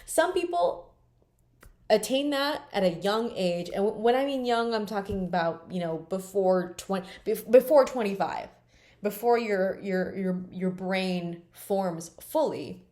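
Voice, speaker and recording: A woman's voice: medium pitch, animated, unhurried, warm tone. Blunt, swearing woman in her twenties. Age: 20s. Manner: blunt and emphatic, swears freely. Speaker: vlogger. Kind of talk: candid personal vlog.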